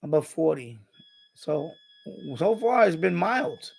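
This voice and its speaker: Older man with a creaky, higher-pitched voice. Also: sing-song, slow, rough recording. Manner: hesitant, repetitive, rambling. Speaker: live stream host. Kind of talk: casual rambling live stream chat.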